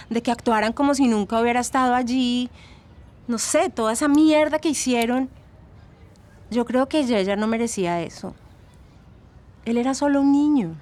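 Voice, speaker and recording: A woman's voice: fairly high pitch, lightly acted. A woman playing a troubled young student, giving earnest, conversational testimony in an audio documentary drama. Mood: upset, sympathetic. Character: troubled young female student witness, sympathetic. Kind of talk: casual acted documentary testimony.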